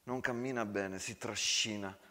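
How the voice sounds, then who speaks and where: A man's voice: on the low side, steady tone, unhurried, sharp tone. A man narrating a war story as a theatrical monologue. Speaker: stage storyteller, actor. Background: acoustic guitar.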